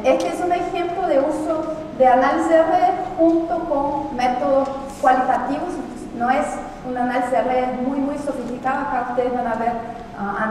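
A middle-aged woman with a Portuguese-tinged Spanish accent, whose first language is not Spanish, giving an academic conference talk in a lecture hall, explaining thoughtfully with frequent pauses and restarts. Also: sits high, a little mellow, slight background noise. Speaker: conference speaker. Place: large lecture hall with audience. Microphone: far room recording of a hall talk. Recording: echoey hall recorded from far off.

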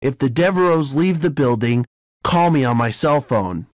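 A man playing a keen young amateur sleuth who takes charge, in deliberate storybook dialogue. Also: mid-range voice, lively intonation, dry recording, clean studio sound, lightly acted. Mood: planning, decisive. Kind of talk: scripted audiobook dialogue.

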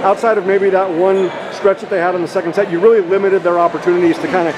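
Brief: high-voiced male sports interviewer, quick and rambling, noisy arena hallway. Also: level tone. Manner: long rambling questions full of fillers. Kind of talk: postgame interview. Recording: noisy background.

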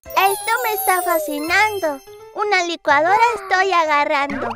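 A woman voicing a lively young cartoon lion cub, narrating in chirpy sing-song rhymes. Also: extremely high pitch, normal speed. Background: children's music.

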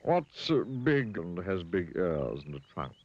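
A man's voice: deep voice, very animated, measured pace, breathy edge, muffled recording, full character voice. A man voicing a smug, condescending clever pig in a children's story. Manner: condescending, rude.

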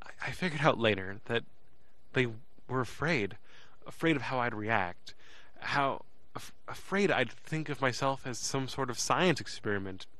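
A man's voice: mid-range voice, lively intonation, pushed and bright. Hesitant, earnest teenage boy. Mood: reflective, uneasy. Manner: halting, hesitant, repeats words. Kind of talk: first-person audio drama narration and dialogue.

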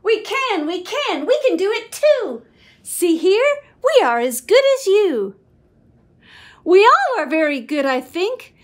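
A middle-aged woman reading a picture book aloud to young children in an expressive, sing-song way. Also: very high voice. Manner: expressive, sing-song, slow, with whispered asides. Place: small room at home.

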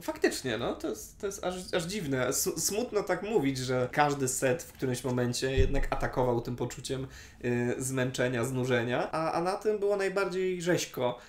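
A man's voice: medium pitch, normal speed. A man in his twenties chatting casually about films as a vlog host. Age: 20s. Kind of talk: casual film discussion chat. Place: small room indoors, on a sofa. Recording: echoey living room.

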